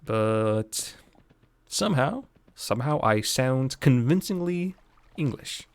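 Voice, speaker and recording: A man's voice: medium pitch, animated, takes it slowly, smooth, no room sound. A young man from Latvia, not a native English speaker, thinking aloud as he plays a game on a livestream and answers chat. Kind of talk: casual live gameplay commentary.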